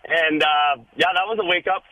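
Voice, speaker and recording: A hoarse man calling in to a radio show, rambling with many fillers. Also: on the high side, moderate pace, sharp tone, low-quality recording. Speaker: caller. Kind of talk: casual call-in chat.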